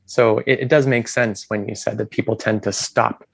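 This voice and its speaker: A man's voice: on the low side, fairly even intonation, moderate pace, creaky, husky, no echo. Man hosting a video call interview. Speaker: host.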